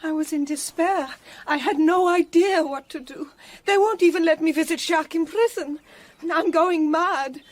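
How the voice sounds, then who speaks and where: A woman's voice: very high voice, moderate pace. An actress playing a distraught, devoted young Frenchwoman in a radio drama, with a pleading, melodramatic manner. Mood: despairing.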